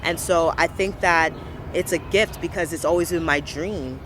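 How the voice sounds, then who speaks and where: A woman's voice: fairly low pitch, normal speed. Earnest young woman on a noisy street. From Brooklyn, New York, USA. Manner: earnest, passionate, repeats words for emphasis. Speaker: interviewee, local business owner. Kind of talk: personal reflective testimony. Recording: street recording with traffic noise.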